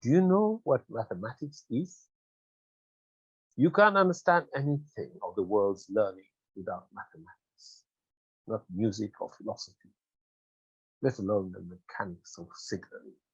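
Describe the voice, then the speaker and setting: A man voicing a condescending, sardonic German colonial officer, lecturing deliberately in a literary reading. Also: lowish voice, slightly rough, dry recording, muffled recording.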